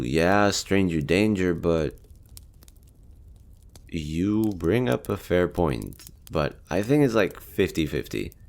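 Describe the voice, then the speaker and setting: A man's voice: deep voice, vocal fry, full character voice. A man acting as a lonely, easygoing survivor of a nuclear apocalypse in an audio roleplay, talking casually and candidly with some hesitation.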